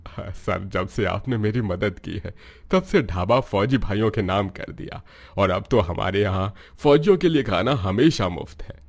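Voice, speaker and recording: A male actor voices a poor village eatery owner from a cartoon moral tale. His voice sits fairly low, and he speaks with humble gratitude and respect. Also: expressive, moderate pace, vocal fry. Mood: humble, grateful. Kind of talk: Hindi moral story cartoon dub.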